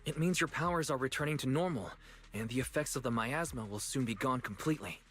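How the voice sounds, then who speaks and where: A man voicing a calm, considerate young companion in a game story, with a reassuring manner. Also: medium pitch, fast talker, lightly acted. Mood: calm concern. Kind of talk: voiced game story dialogue.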